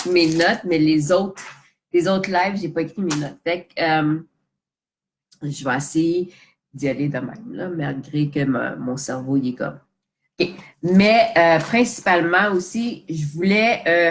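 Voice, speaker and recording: A young woman with a Québécois French accent who has a cold, chatting casually on a live stream as a social media coach, with lots of fillers, restarts and English words mixed in. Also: low voice.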